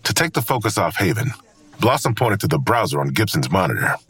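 The narrator of a crime audio drama. His voice sits very low with a strongly husky grain. He tells the story gravely but with lively melody. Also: fairly quick, no room sound, heavily acted. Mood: measured, grave.

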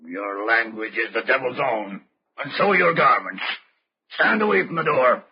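An actor playing a stern, threatening colonial henchman with a gravelly voice and archaic, imperious speech in an old-time radio drama. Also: mid-range voice, lively intonation, moderate pace, crowd noise, lightly acted. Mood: menacing. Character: menacing armed colonial-era henchman, stern and commanding. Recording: dull, noisy old radio recording.

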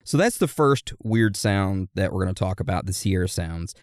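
A man's voice: sits low, animated, normal speed, dry recording, clean studio sound. A man co-hosting a casual chat, telling eerie stories. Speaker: podcast co-host.

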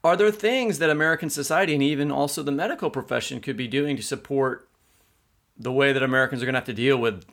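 A man's voice: medium pitch, fast, fairly bright tone. A man co-hosting a discussion, conversational and opinionated, restarting sentences as he makes his point. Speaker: podcast co-host.

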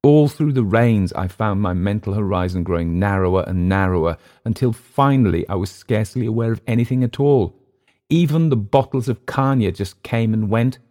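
A man's voice: on the low side, normal speed, darkish tone, pitched above the performer's own voice, close to an everyday voice. A man voicing a bitter, volatile, drink-sodden Englishman in a literary story. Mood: earnest, defiant. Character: bitter, drunken, volatile Englishman gone to seed.

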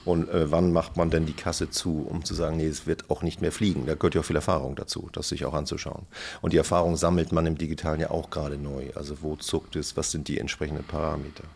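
A man's voice: extremely low pitch, level tone, fast talker, gravelly, darkish tone, breathy edge. A man hosting a casual conversational interview, asking informal, rambling questions. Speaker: podcast host interviewer.

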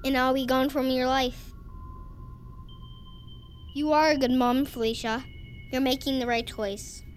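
A woman's voice: sits high, little pitch movement, a little slow, soft background music. A woman playing a coaxing, sinister female entity in an audio drama, soothing, persuasive and commanding as she leads a ritual. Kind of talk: immersive audio drama acting.

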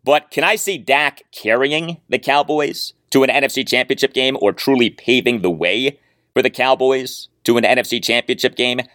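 A man hosting a sports show, delivering commentary and reading listener email with emphatic, deliberate phrasing. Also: medium pitch, a bit bright, dry recording, studio quality. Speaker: sports podcast host.

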